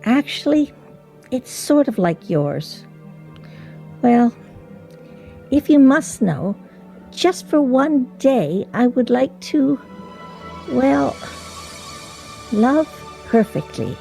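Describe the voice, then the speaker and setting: A woman's voice: sits high, very animated, very slow, creaky, long pauses, warm tone, faint music behind, exaggerated voice, poor audio. An actress playing an earnest, self-conscious woman in a radio play, with a hesitant way of talking.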